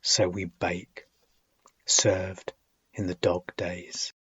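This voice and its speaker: A man giving a solemn poetry reading, deliberate and measured, with dramatic phrasing, long pauses and husky, breathy phrase endings. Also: low voice, level tone, fairly bright tone, no echo, highs cut off. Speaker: poet reading own work.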